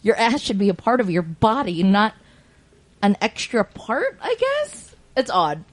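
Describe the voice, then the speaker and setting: A woman's voice: mid-range voice, wildly swooping pitch, unhurried. A woman co-hosting a podcast in casual chat, talking in a slangy, profane way. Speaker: podcast co-host.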